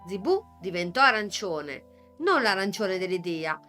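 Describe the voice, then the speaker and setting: A woman narrating a children's storybook in a gentle, measured voice at a mid pitch. She leans into pauses to build quiet suspense. Soft music plays underneath. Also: big pitch swings.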